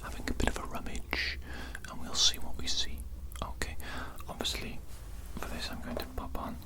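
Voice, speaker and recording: An evil castle doctor, played by a woman, whispers through an ASMR roleplay. The delivery is unhurried and conversational, with phrases repeated in a callous, condescending, mock-sinister manner. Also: close to an everyday voice. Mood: condescending, matter-of-fact.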